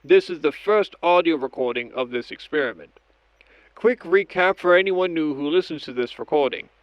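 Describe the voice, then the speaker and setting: A man playing a methodical scientist in an audio drama, narrating each step of an experiment log in a measured, clinical way. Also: a little high, lively intonation, no room sound, close to an everyday voice. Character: methodical male scientist doctor logging experiments on tape. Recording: dull lo-fi audio with background noise.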